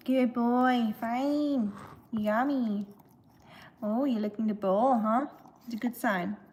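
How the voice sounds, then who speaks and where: A young woman with a German accent, her voice sitting a touch high, chats with her livestream viewers from a home kitchen. She giggles often and coos at her dog. A faint hiss sits under the stream. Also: slow pace, darkish tone. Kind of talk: casual livestream chat with viewers.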